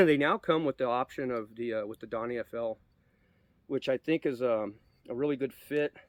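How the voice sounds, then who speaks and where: A man's voice: mid-range voice, moderate pace, no echo. A man with a cold, sniffly, giving a rambling casual review of an air rifle outdoors, with many ums and restarts. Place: outdoors at a shooting spot.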